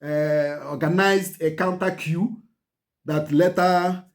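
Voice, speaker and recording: A Nigerian man with a fairly high voice talks through history in an emphatic, lecturing way. He hammers on his points by repeating them and tells his listeners to pay attention. Igbo phrases slip into his English now and then. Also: steady tone, slow pace. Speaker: channel host. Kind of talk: history commentary to camera.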